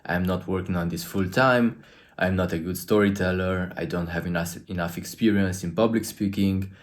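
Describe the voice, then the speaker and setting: A man's voice: sits low, fairly even intonation, moderate pace. A man from Romania with a Romanian accent, giving an earnest, emphatic motivational talk to camera as a coach.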